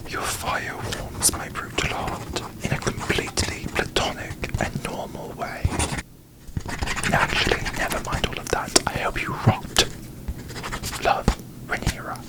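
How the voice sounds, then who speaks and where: A man voicing a haughty, bitter young fantasy queen in a breathy ASMR whisper, with pompous, formal diction, as parody. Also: unhurried, close to an everyday voice, rough recording. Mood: yearning, then spiteful.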